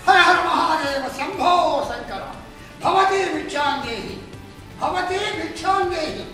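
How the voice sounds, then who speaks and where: In a very echoey space with music behind, a boastful demon king acted by a man declaims in an extremely high voice. His delivery is imperious, grandiose folk puppet theatre. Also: animated, slow, far from the mic, full character voice. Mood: chanting.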